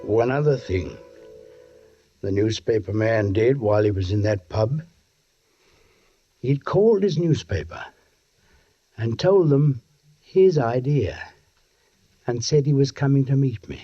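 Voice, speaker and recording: An actor playing a tormented, menacing condemned strangler in theatrical, brooding 1940s radio thriller style. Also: male voice, medium pitch, animated, measured pace, long pauses, warm tone, breathy edge, soft background music. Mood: bitter, resigned. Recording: dull 1940s radio broadcast.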